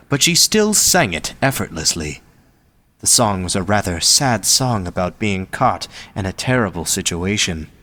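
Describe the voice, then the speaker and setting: A man narrates a scripted story for an audio drama. His voice sits fairly low and moves widely in pitch as he reads. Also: a bit bright.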